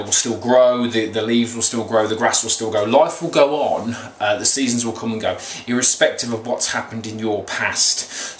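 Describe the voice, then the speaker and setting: A man in his thirties with a slightly croaky voice, giving a rambling, earnest motivational vlog talk as a coach. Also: medium pitch. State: slightly croaky after a run. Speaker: weight loss coach. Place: small room at home, indoors. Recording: echoey small room, faint background noise.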